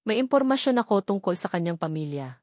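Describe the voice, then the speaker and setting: An earnest woman lawyer, voiced by a female storyteller, determined to get at the truth. She speaks at a middle pitch in a dramatic storybook style, recorded dry and close. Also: very animated, fast talker, darkish tone, dry recording, lightly acted. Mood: insistent. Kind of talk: dramatic storybook narration dialogue.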